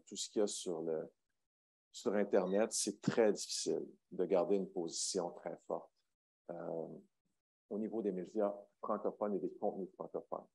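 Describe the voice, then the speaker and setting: A man's voice: low voice, creaky, a little mellow, slightly rough, low-quality recording. A man speaking on a panel, hesitant with many fillers. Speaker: broadcaster panelist. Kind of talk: panel talk.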